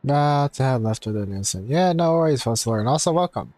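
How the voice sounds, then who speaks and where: A man's voice: medium pitch, lively intonation, fast talker, a little mellow, dry recording. A man giving casual livestream commentary as he plays a game and reads choices aloud. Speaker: game streamer.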